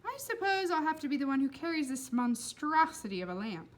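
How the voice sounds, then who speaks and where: A woman's voice: high voice, very animated, a little fast, pitched above the performer's own voice. A woman voicing an exasperated, bossy grown-up woman in a storybook.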